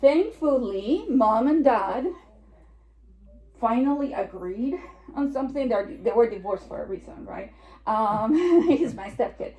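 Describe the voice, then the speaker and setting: A woman's voice: a little high, lively intonation, measured pace, mellow, dull audio. Puerto Rican-accented woman speaking in an echoey room. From New York. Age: 40s. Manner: chatty, joking asides. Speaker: estate planning attorney. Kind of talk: seminar presentation.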